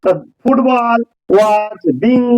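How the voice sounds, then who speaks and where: Bengali guy teaching grammar, really high voice, dry close sound, says stuff twice so it sticks. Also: level tone, very slow, a little mellow, smooth, dry recording, low-quality recording. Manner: didactic, repeats phrases for emphasis. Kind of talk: informal grammar lesson, explaining with examples.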